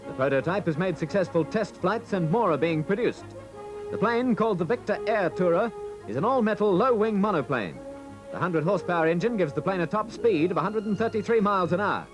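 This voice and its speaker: A man's voice: high voice, animated, brisk, muffled recording. A man reading scripted, factual newsreel commentary. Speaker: newsreel narrator. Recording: archive newsreel soundtrack with music. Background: music.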